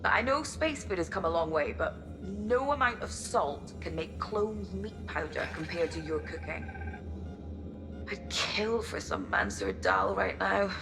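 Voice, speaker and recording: A homesick woman aboard a colony ship, chatting affectionately with very lively intonation. Music plays clearly behind her in this game cutscene. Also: mid-range voice, unhurried. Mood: chatty, wistful. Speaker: actor in a video game. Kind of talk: personal message monologue, game acting.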